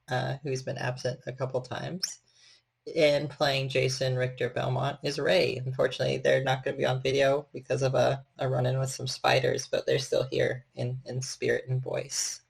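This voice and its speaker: A man's voice: mid-range voice, vocal fry, husky. A man chatting casually as the host and storyteller of a livestream. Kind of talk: casual livestream hosting chat.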